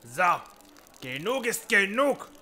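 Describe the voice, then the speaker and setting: A man's voice: sits high, sing-song, slow, bright, forceful tone, slight background noise, exaggerated voice. An actor playing an officious, scolding knight who serves as henchman in a comic audio play. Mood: indignant. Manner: snide, prim, scolding. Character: officious, snide male knight, henchman to an overlord.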